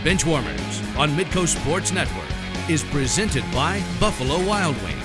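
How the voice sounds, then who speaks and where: A man's voice: a little high, very animated, measured pace. A man reading a scripted sponsor announcement as a promo voice-over. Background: loud guitar music.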